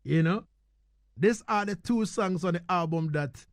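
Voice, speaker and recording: A man's voice: sits high, unhurried, no echo, clean studio sound. A man hosting a casual radio interview, effusive and slangy, often repeating his phrases. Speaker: radio host interviewing a musician.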